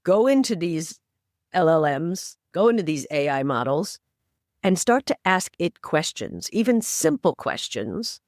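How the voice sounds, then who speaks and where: A woman's voice: fairly low pitch, sing-song, measured pace, a little mellow, no echo, studio quality. Emphatic woman in her sixties. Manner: emphatic, persuasive, urging listeners on. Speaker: podcast guest, inclusive AI advocate. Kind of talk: conversational interview.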